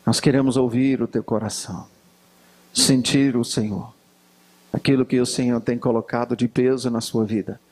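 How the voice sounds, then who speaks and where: A man of middle pitch who leads a spoken prayer at a church conference. He speaks earnestly and steadily, blessing the guest preacher. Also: steady tone, moderate pace, darkish tone. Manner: earnest, praying aloud. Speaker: church leader leading prayer.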